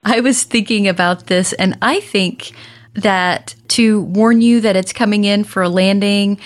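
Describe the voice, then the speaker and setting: A woman's voice: mid-range voice, fairly even intonation, a little slow. A woman co-hosting a casual tech podcast, reading listener mail in an upbeat, chatty way. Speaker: podcast co-host.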